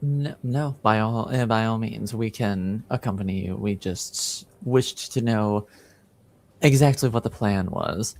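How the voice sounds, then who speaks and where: A man narrating as game master in a tabletop roleplaying game, improvising dialogue and often pausing to search for words. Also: on the low side, darkish tone.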